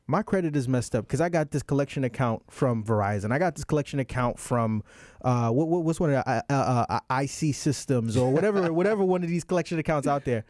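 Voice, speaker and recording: A man's voice: medium pitch, animated, fast, a little mellow, no echo, clean studio sound. A man hosting a casual chat in an easygoing way, sometimes reading legal text aloud. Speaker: podcast host.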